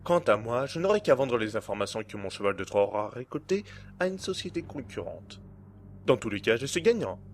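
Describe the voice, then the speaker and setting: A man playing a cold, menacing blackmailer in an audio drama, speaking in a smooth, condescending and formal way. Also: medium pitch, big pitch swings, fairly quick, slight background noise. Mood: coldly threatening. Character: cold, menacing male blackmailer and hacker, smugly in control. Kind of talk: amateur audio drama acting.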